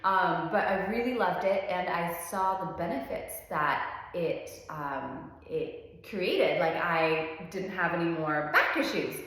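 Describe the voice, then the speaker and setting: A woman's voice: fairly low pitch, lively intonation, slow pace, fairly bright tone. A woman in her thirties, a pilates instructor originally from France, talking about herself in an easygoing way with frequent ums and open enthusiasm. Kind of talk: relaxed personal introduction. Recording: very echoey room with long reverb.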